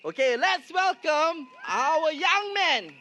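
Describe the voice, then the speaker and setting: A man emceeing a rally and introducing speakers in a slow, drawn-out way. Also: very high-pitched, very animated, background noise. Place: outdoor rally with loudspeakers.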